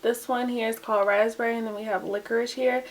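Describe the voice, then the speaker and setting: A woman's voice: a little high, fairly even intonation, quick pace. A young woman chatting casually through a makeup tutorial at home, close to the camera, with a dry, groggy throat and lots of "like" and "um". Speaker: beauty vlogger.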